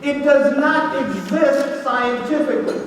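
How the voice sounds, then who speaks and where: Keynote speaker, male: loud, self-assured and boastful, heard from far off in a reverberant banquet hall. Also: very high-pitched, a little slow, a little hiss or hum. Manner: confident, boastful, jokey lecturing. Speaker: guest speaker, economist. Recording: echoey hall, mic from far off.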